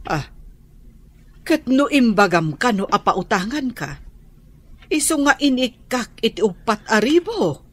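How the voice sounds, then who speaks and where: A breathy, nagging aunt. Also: female voice, a little high, very animated, unhurried, pauses often. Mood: reproachful. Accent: Ilocano.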